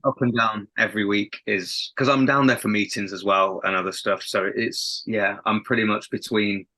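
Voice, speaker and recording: Bright-voiced man with a faint Sheffield accent. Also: on the low side, steady tone, normal speed. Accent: Sheffield, softened. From England. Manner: rambling, self-interrupting, laughs often. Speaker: band lead singer, guest. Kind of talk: casual podcast interview.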